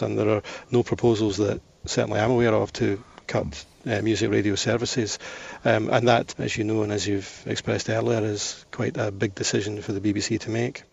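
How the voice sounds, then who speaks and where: A British man giving formal interview answers as an official, measured, careful and diplomatic, in slightly muffled sound. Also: fairly low pitch, vocal fry, darkish tone, husky, no echo. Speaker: broadcasting trustee interviewee.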